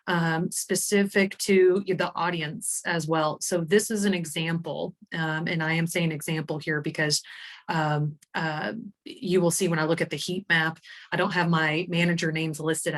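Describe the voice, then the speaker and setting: Low voiced woman doing a webinar software walkthrough, chatty explainer style, talking into computer mic on a call. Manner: explanatory, informal, with tag questions. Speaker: presenter demonstrating survey software. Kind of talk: conversational webinar product demo. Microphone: computer mic on a screen-share video call.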